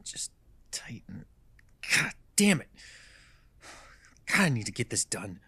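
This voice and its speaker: A man's voice: medium pitch, big pitch swings, takes it slowly, pushed and bright, slightly rough, no echo, full character voice. A man playing a frustrated, bitter small-town mechanic who grumbles and swears to himself in a radio mystery drama. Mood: frustrated muttering.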